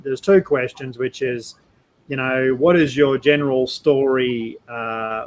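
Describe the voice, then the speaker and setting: A man with an Australian accent and a mid-pitched voice hosts a relaxed interview. He feels his way through questions in a halting, discursive manner, turning reflective as he goes. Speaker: podcast host. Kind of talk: casual conversational interviewing.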